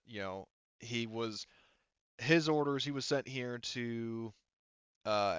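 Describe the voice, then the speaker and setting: Low, smooth-voiced man running a game. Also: a bit bright, dry recording, lo-fi sound. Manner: informal, halting, profane. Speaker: game master. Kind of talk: narrating a tabletop roleplaying game.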